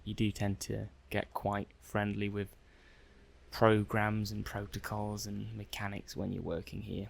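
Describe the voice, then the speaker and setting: Guy playing a robot lab tech for asmr, lowish croaky male voice, soft spoken, kinda flustered, chatty and musing to himself. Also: flat delivery, a little slow. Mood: chatty, slightly flustered. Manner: hesitant, musing aloud, conversational. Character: affable, slightly flustered robot-testing technician, adult man. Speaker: ASMR roleplayer.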